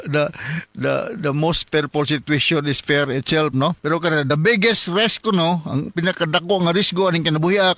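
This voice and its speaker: A man's voice: fairly high pitch, sharp tone, dry recording. A middle-aged or older man with a Cebuano Filipino accent, hosting an informal radio advice show in a chatty, rambling way. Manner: rambling, chatty, with frequent chuckles and tag questions. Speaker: radio advice host and doctor.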